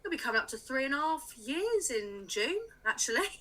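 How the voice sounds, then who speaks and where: A woman's voice: high-pitched, sing-song, a little fast, bright, forceful tone. A woman in her late twenties from England, a music writer chatting openly as a podcast guest, with many little laughs and fillers. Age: late 20s. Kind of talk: casual interview chat.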